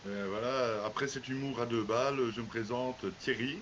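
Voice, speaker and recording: A man's voice: mid-range voice, fairly even intonation, moderate pace, fairly bright tone, a little hiss or hum. A man from northern Belgium with a Belgian French accent, joking dryly while chatting on a video call. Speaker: improv player. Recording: dull lo-fi video call audio.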